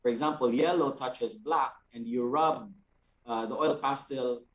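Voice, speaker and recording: A man with a Filipino accent and a medium-pitched voice answers students' questions as an instructor on a video call. He explains practical steps deliberately, in halting, unscripted phrases. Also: poor audio.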